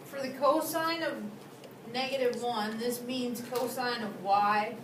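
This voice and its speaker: A woman math teacher giving a slow, deliberate classroom lecture to students. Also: a little high. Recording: echoey classroom, distant, noisy.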